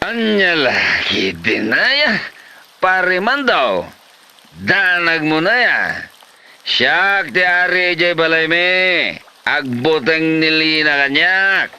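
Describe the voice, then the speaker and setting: A man's voice: fairly high pitch, very animated, slow, pushed and bright, clear, resonant tone, full character voice. A man playing a lazy, boastful, hard-drinking husband in a comedy drama, dismissive and cheerfully defiant. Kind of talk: Ilocano comedy drama acting.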